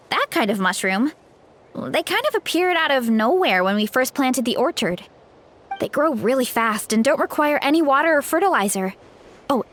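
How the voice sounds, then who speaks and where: A woman's voice: high-pitched, fairly bright tone, a little hiss or hum, full character voice. A woman voicing a cheerful, chatty young fruit grower with a big appetite in a video game. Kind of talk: video game character voice acting.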